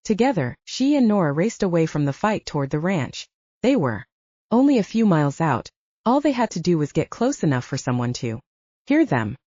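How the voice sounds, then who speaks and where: A woman narrates dramatic fiction from a script. Her voice sits a little low and is dark and mellow, with very animated pitch. The recording is slightly dull. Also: moderate pace, no room sound. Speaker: audiobook narrator. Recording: dull, band-limited audio.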